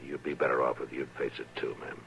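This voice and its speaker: A man playing a steady, stern but compassionate American frontier marshal in an old radio western, measured and courteous. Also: a bass voice, creaky, exaggerated voice. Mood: grave, patient. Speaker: lawman lead. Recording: muffled, hissy old radio recording.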